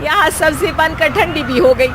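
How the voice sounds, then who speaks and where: A woman's voice: high voice, normal speed, over a noisy crowd, natural voice. A woman playing a bossy, short-tempered mother-in-law who scolds and makes demands in a cartoon story. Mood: irritated. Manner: scolding, demanding, clipped questioning tags. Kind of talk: Hindi cartoon story acting. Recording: clearly noisy recording.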